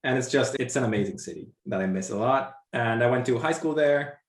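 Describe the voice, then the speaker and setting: A young man from Argentina, speaking as an interview guest in a relaxed, rambling way with many "like" fillers. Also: medium pitch, a little fast, reverberant. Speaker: interview guest, brand designer. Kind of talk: casual interview conversation.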